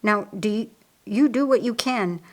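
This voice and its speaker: A woman playing a calm, authoritative man who heads a household, measured and instructing, in an audiobook. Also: a little high, close to an everyday voice. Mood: grave, decisive.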